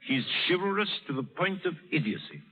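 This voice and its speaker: An actor playing a worldly, avuncular older English lord in a 1930s radio drama style. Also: male voice, on the high side, sing-song, brisk, breathy edge. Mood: gentle, worldly. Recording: vintage radio broadcast, thin and tinny.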